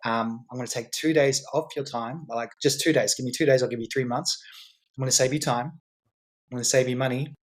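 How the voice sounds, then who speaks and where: A man's voice: medium pitch, steady tone, brisk. A man as a guest on a podcast, explaining his business in a confident, salesy way with frequent repeats and restarts. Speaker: guest entrepreneur.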